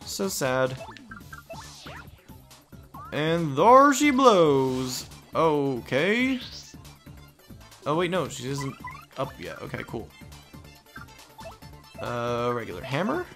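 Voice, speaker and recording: A young adult man giving casual, rambling commentary as he plays a video game. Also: medium pitch. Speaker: let's play host. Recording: game music behind voice. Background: video game music.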